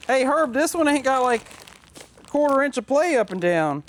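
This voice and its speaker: A man's voice: extremely high pitch, big pitch swings, normal speed. A man chatting casually as a mechanic, thinking aloud and asking questions. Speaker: mechanic, co-host. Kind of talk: casual shop chat. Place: workshop. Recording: noisy workshop with clicks.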